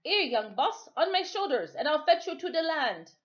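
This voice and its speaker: Woman playing a hearty old Caribbean sailor, lifted pitch. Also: sing-song, normal speed, highs cut off. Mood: excited, hearty. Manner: emphatic, exclamatory dialect speech. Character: older Caribbean sailor man, hearty, kindly, reassuring. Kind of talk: storybook character read aloud.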